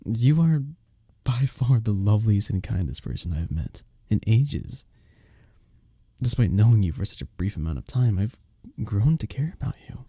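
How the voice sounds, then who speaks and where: A man acting as a kind innkeeper from a medieval fantasy village, in an intimate ASMR audio drama. His voice is low and husky, with a gravelly edge. He speaks in gentle, formal, storybook phrases with a hesitant tenderness. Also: sing-song, moderate pace, no room sound, clean studio sound, full character voice. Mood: tender, shy.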